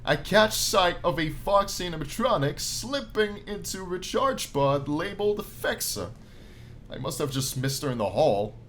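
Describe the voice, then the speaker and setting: A man playing a game on his channel, reading the story aloud as animated narration and dropping in casual, jokey asides. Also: high voice, unhurried, slight background noise. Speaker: gaming YouTuber reading a visual novel aloud. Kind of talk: let's play commentary mixed with dramatic read-aloud narration.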